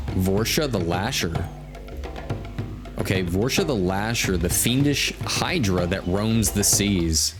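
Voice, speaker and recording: A man giving casual, laid-back gameplay commentary as he hosts a stream, thinking aloud and reading out quest text. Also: fairly low pitch. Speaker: game livestream host. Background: game music.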